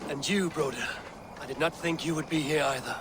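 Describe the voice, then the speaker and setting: An actor playing a loyal, commanding Viking war leader in a video game, measured in manner. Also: male voice, fairly high pitch, natural voice. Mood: resolute. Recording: noisy outdoor ambience behind.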